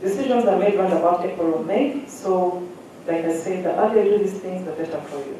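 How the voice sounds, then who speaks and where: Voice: mid-range voice, brisk, warm tone. An education adviser gives direct, instructive advice to students, checking now and then that the audience is following. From Uganda. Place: large hall at a careers fair. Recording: echoey hall, distant mic, faint hum.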